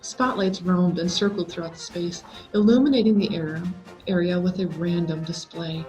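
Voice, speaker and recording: A woman reading a scary story aloud as a dramatic, expressive scripted narration full of suspense. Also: on the low side, moderate pace, muffled recording, soft background music. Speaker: story reader.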